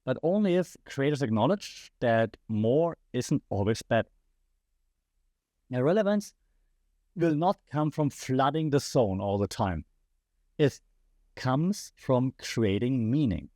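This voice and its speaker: A man's voice: mid-range voice, animated, slow, long pauses, warm tone, no room sound. German guy ranting on his podcast, fired up, asks the listener stuff, voice a bit worn, dead dry sound. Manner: passionate, rhetorical questions to the audience. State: slightly losing his voice. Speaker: podcast host. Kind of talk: opinionated solo commentary.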